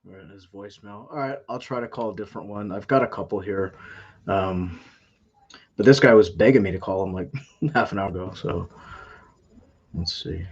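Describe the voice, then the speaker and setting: A man hosting a casual live stream, talking in a halting way with frequent filler sounds. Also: on the low side, husky, highs cut off. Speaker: scam-baiting live streamer.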